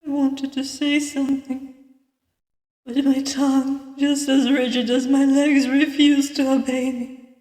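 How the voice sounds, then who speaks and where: A woman voicing a reserved, weary detective who narrates a visual novel in a measured, dramatic way. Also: high-pitched, little pitch movement, warm tone, pitched above the performer's own voice. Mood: eerie, hushed. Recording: distant mic, echoey long reverb.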